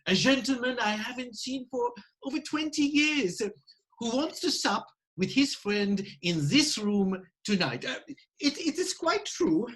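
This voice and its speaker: A man playing an ingratiating French restaurant proprietor in a Victorian farce reading, coaxing and effusive in short, halting phrases. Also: very high voice, animated, a bit bright, heavily acted, low-quality recording. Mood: pleading, coaxing.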